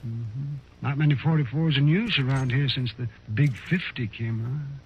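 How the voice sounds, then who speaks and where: An actor playing a folksy frontier doctor in an old-time radio western, wry, unhurried and musing. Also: male voice, medium pitch, lightly acted. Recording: dull, faintly noisy old radio recording.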